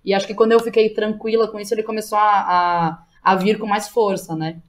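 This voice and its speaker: A Brazilian woman with a São Paulo accent talks at a rapid clip in a casual interview. Her pitch sits in an ordinary middle range. She tells stories with animation, peppering them with slang and quoted lines. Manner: animated storytelling, slangy, quotes herself and others. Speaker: guest playwright and director.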